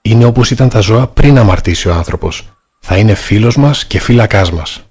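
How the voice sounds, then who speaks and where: An actor playing a gentle but firm old monastery abbot in a cartoon, calm and fatherly, with music under the voice. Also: lowish voice, slightly rough. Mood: reassuring. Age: older. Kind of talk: animated film voice acting.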